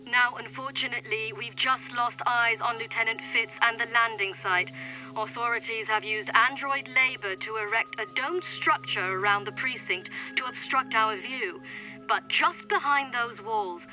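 An actress in an audio drama playing a brisk female television reporter delivering breaking news with urgency. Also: fairly high pitch, pushed and bright, faint music behind, poor audio. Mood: urgent, serious.

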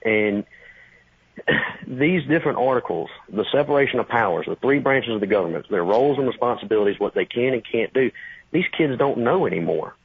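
Brief: twenties man, husky voice, rambling call-in over a phone line. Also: medium pitch, animated, fairly quick, no room sound. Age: 20s. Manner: casual, rambling, many fillers. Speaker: caller, high school teacher. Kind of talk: phone-in conversation.

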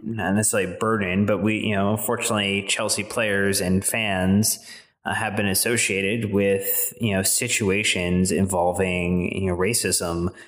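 A man's voice: deep voice, level tone, normal speed, fairly bright tone. A man co-hosting a football fan podcast, talking casually with many fillers and restarts. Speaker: podcast co-host.

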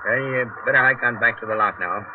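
An actor in a 1940s radio drama playing a cautious, middle-aged veteran tunnel digger and father, gruff and blunt, talking in rough working-class slang. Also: mid-range voice, brisk, close to an everyday voice. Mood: grim, instructive. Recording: muffled, noisy 1940s radio broadcast.

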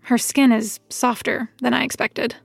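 Deadpan, creaky-voiced young woman. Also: a little high, dry recording, faint music behind, exaggerated voice. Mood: guarded, deadpan. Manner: deadpan, measured. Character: reserved, watchful young woman seeking assistant job. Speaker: narrator, detective's new assistant. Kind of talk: first-person scripted narration.

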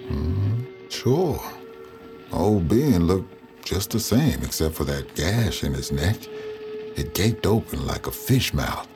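A folksy older storyteller looking back on his life in an audio drama. His deep voice rises and falls expressively, vivid and reflective, over music. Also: very animated, takes it slowly, full character voice. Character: older man recounting his supernatural past, folksy storyteller. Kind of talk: first-person audio drama narration.

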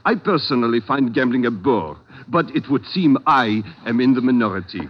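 A man's voice: medium pitch, animated, normal speed, a little hiss or hum, lightly acted. An actor playing a suave, aloof Frenchman in a 1940s radio drama, speaking formally and precisely with dry politeness. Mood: dry, courteous. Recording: dull vintage radio recording with music.

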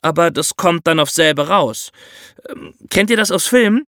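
A man's voice: on the high side, lively intonation, normal speed, bright, forceful tone, dry recording, studio quality. A man hosting a podcast, explaining things to his co-hosts in a conversational way with examples. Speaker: podcast host. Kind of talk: conversational true-crime explainer.

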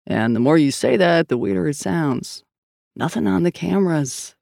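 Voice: medium pitch, lively intonation, moderate pace, vocal fry, darkish tone, no room sound. Narrator voicing a weary, sardonic male cop. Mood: reluctant, placating. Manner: dry, sardonic, reluctant. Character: weary, skeptical, blunt male police partner.

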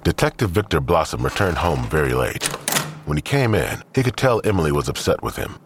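A man's voice: very deep voice, very animated, a little fast, noisy background. A man reading scripted narration for an audio drama.

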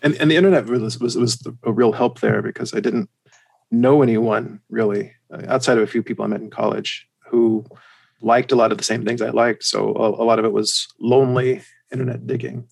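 A man with a general American accent and a gravelly, creaky voice at an ordinary male pitch. He talks casually as a podcast guest, feeling his way haltingly through his answers. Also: fairly even intonation. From United States and Germany. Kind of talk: casual chat.

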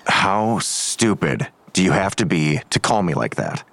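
A man's voice: low-pitched, sing-song, a little slow, gravelly, fairly bright tone, no room sound, full character voice. A man playing a weary, grudging police detective in an audio drama, curt and sardonic in manner. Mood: curt, scornful.

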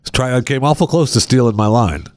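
A man hosting a talk radio show, telling a story in casual banter and working through the numbers slowly with dry humor. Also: medium pitch, no room sound. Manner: wry, deadpan storyteller who walks through numbers slowly.

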